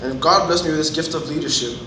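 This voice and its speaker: A man in his twenties from Fiji with a Fijian accent, giving a personal testimony to a group in an earnest, halting way. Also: on the high side. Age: 20s. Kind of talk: personal testimony, informal public speaking. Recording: roomy, distant mic, noticeable background noise.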